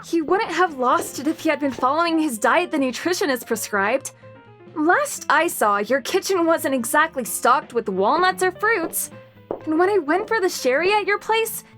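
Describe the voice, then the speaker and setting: Very high-pitched, high-strung perfectionist woman. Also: expressive, a little slow, a bit bright, faint music behind, heavily acted. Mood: exasperated. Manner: intense, demanding, indignant. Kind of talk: audio dramedy acting.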